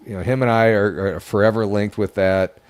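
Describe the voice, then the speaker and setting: A man's voice: lowish voice, fairly even intonation, fairly quick, clean tone. A man chatting casually as a guest paranormal investigator, repeating words and stumbling in an emphatic, stammering way. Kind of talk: casual storytelling chat.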